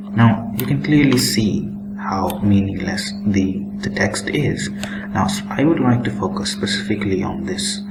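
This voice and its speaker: Slow, low-voiced man with noisy background. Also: creaky. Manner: deliberate, starts sentences with "now"; critical, disapproving tone. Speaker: religious commentator. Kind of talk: screen-share commentary on book excerpts.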